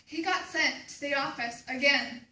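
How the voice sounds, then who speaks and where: Woman as an exasperated mom, shrill and echoey. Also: normal speed, sharp tone. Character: exasperated mother prodding inattentive husband and son. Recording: echoey room, distant mic, faint noise.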